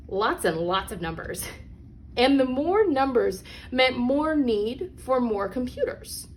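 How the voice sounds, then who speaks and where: Higher-voiced woman reading a children's book, noisy recording. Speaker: read-aloud storyteller. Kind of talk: scripted children's picture book read-aloud. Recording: clearly noisy background.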